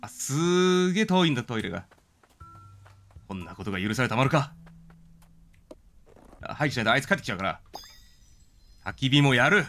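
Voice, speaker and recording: A man's voice: mid-range voice, lively intonation, brisk, pauses often, a bit bright. A man doing joking game commentary with wry, theatrical asides, reading the dialogue aloud as he plays. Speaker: game streamer. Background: game music.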